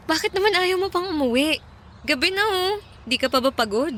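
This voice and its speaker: Bright, friendly young Filipina singer. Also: female voice, very high-pitched, lively intonation, normal speed, a little hiss or hum. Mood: friendly, curious. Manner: lighthearted, gently chiding. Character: friendly, trusting young female singer in a band. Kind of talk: Filipino radio drama acting.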